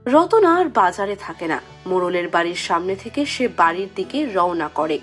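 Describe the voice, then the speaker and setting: A woman's voice: fairly low pitch, very animated, normal speed, faint music behind. A woman storyteller giving scripted fairy-tale narration for a cartoon.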